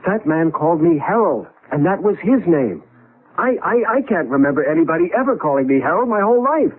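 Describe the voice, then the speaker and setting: An actor in a 1970s radio drama playing an agitated, urgent husband stranded in the past, prone to stammering. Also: male voice, on the high side, big pitch swings, a little fast, slightly rough. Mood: puzzled, excited. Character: agitated husband displaced into his great-grandfather's era. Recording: narrow-band tinny vintage radio recording.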